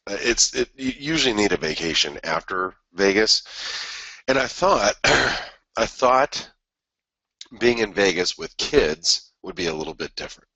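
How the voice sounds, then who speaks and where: A man with a stuffy head cold and a raspy voice, hosting a trading webinar in a rambling, casual way with many restarts. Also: fairly low pitch, expressive, measured pace, bright, forceful tone, no room sound, poor audio. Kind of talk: casual market analysis talk.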